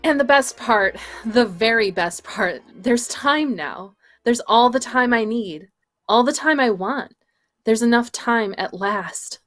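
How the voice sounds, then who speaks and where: Radio play role: overwrought bookworm survivor, played by a woman, high, deliberate, emphatic and full of feeling. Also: a bit bright, no echo. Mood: blissful relief. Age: young adult. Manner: emphatic, repetitive, melodramatic. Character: bookish, overwrought survivor, wonderstruck then bitterly anguished. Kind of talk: radio play table read.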